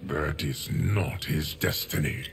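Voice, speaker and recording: An actor voicing a solemn, stoic, ageless guardian of time in a video game, formal and measured with a gravelly voice. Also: male voice, very deep voice, soft background music, full character voice.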